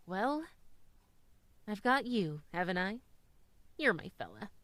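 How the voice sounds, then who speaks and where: A woman's voice: medium pitch, big pitch swings, takes it slowly, a bit bright, no echo. A woman playing a tender, wry single mother in an old-time radio drama. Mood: fond, patient.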